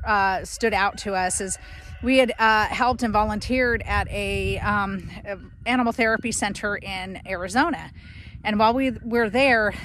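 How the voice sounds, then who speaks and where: A woman's voice: medium pitch, a bit bright, a little hiss or hum, low-quality recording. A woman, chatting casually to a phone at arm's length on her farm, with plenty of ums and restarts. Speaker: homestead vlogger. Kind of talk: casual update to camera. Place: outdoors on a grassy farm. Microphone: phone held at arm's length.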